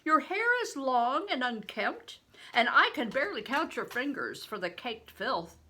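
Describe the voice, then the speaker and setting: A woman voicing a huge, shrewd, blustering medieval man in a storybook, booming and theatrical as he questions in archaic speech. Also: very animated, a little slow, sharp tone, heavily acted. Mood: scornful, loud.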